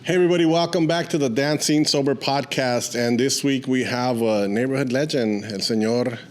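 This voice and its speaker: A man's voice: mid-range voice, normal speed, soft background music. A man hosting a podcast in a casual, informal, friendly way. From born in Mexico, moved to the US at age four. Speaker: podcast host. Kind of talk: casual podcast interview chat.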